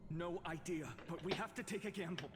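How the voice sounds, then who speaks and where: Man playing high-voiced, urgent young fantasy adventurer. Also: brisk, in a large hall, background noise, lightly acted. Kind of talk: video game voice acting.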